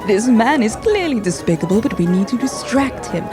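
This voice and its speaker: A woman playing a composed, diplomatic prince in a fantasy audio drama, genteel and measured. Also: fairly high pitch, sing-song, music in the background. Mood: stern, hushed. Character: composed, polite prince in disguise, smoothly diplomatic.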